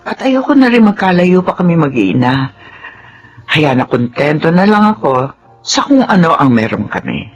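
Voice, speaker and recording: A woman acting an earnest, worrying middle-aged Filipina mother in a Tagalog radio drama, with an expressive, emphatic delivery. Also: low voice. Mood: earnest, content. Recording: dull lo-fi audio with faint noise.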